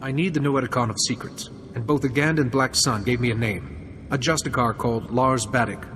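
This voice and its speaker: Male actor as young Jedi apprentice, resolute, measured, earnest, medium pitch, game dialogue. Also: a bit bright, a little hiss or hum, natural voice.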